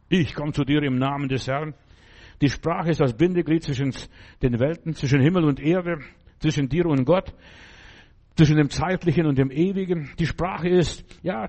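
A man's voice: a little high, darkish tone. A man preaching a fervent, emphatic sermon in German, which is not his first language, repeating phrases for emphasis.

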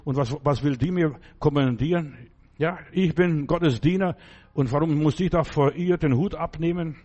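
A man's voice: fairly high pitch, moderate pace, darkish tone, lo-fi sound. A man preaching a fervent, emphatic sermon in German, which is not his first language, repeating phrases for emphasis.

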